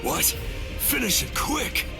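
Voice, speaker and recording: A man's voice: high-pitched, big pitch swings, slow, creaky, full character voice. An actor playing a brutish, battle-hungry swordsman who drawls with savage glee in an anime video game. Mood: eager, taunting. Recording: loud music behind the voice.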